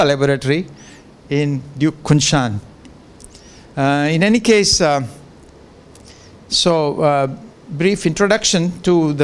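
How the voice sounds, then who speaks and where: A man's voice: fairly high pitch, smooth. Chatty older man lecturing on a handheld mic. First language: Gujarati. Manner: chatty, jokey, many fillers and restarts. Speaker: professor giving a guest lecture. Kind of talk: informal lecture with asides. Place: large lecture room. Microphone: handheld microphone.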